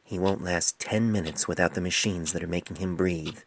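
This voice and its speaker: A man voicing a stern, wise older female pony physician in a storybook reading, speaking in a measured, grave, admonishing way. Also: deep voice, fairly even intonation. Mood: sober, commanding.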